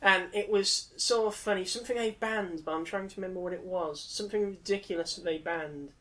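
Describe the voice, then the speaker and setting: Boomy-voiced British man, bantering. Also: a little fast, pushed and bright. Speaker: podcast host. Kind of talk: casual comedic banter.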